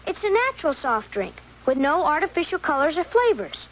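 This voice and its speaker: A woman's voice: high-pitched, big pitch swings, fairly quick. A woman voicing an earnest schoolboy reciting a naive class report in a scripted radio ad. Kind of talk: scripted 1970s radio ad acting. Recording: dull, faintly noisy radio recording.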